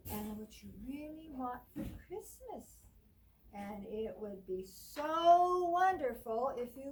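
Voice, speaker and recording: Soft-spoken storytime librarian, slow and distant. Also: female voice, a little high, slight background noise. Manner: gentle sing-song reading, dropping to whispers. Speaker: children's librarian. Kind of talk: children's storytime read-aloud.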